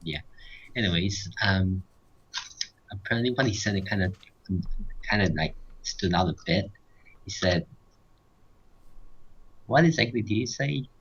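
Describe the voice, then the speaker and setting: A man's voice: lowish voice, animated, measured pace, pauses often, husky. A man telling a rambling casual story over a video game, quoting what others said. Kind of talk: casual anecdote over gameplay. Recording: dull, noisy mic with keyboard clicks.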